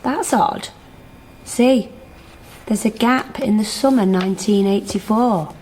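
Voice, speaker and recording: An actress playing a defensive, independent sister, talking in dry, blunt, clipped lines in naturalistic radio drama dialogue. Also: female voice, medium pitch, slow pace, darkish tone, a little hiss or hum, lightly acted, poor audio. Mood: dry, curious. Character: defensive, independent pregnant woman, sharp with her brother.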